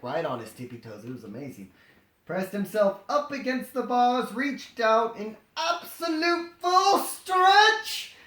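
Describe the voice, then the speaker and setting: A storyteller reading aloud comedically, with animated, sarcastic improvised asides. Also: medium pitch. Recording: roomy, echoing sound.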